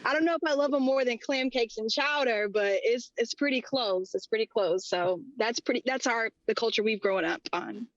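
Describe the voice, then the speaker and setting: A woman's voice: a little high, moderate pace, few pauses, dry recording. Upbeat young woman guest, casual and chatty, recorded dry with dull audio. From Texas and Rhode Island. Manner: upbeat, chatty, laughs often. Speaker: guest, tea business co-owner. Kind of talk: casual interview talk.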